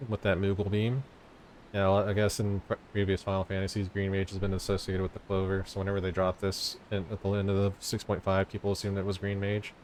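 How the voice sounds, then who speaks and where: A man's voice: low voice, completely flat delivery, fast talker, a little mellow, a little hiss or hum, low-quality recording. A man giving casual, offhand gameplay commentary as a streamer, musing aloud in loose phrases. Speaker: game streamer. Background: prominent game music.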